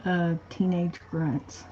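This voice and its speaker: Brief: woman, unhurried livestream co-host, amused and chatty, on muffled stream audio with a faint hiss. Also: lowish voice, fairly even intonation, mellow. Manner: easygoing, laughs often, reads viewer comments aloud. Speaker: co-host of a live chat show. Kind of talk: casual livestream chat.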